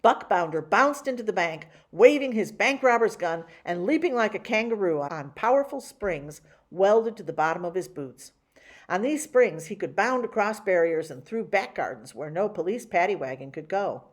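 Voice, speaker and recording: A woman reading a children's picture book aloud with a lively, animated delivery. Her voice sits mid-pitch and swings widely from line to line. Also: normal speed. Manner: expressive, animated. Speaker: storyteller.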